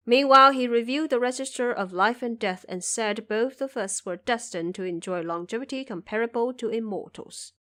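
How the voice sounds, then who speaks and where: A woman's voice: medium pitch, fairly bright tone, close to an everyday voice. Woman acting humble, earnest male subject. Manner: deferential, earnest, recounting. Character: humble, loyal male commoner subject reporting to his emperor. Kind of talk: audio drama character acting.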